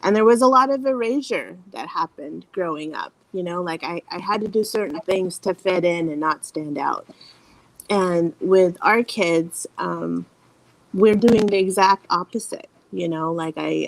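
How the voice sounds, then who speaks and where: A woman's voice: fairly low pitch, unhurried, poor audio. A woman who grew up in the Philippines and speaks Tagalog as her first language, talking earnestly and reflectively as a guest parent over a video call, with many "you know" fillers. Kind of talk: casual panel conversation. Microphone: video call from a hotel room.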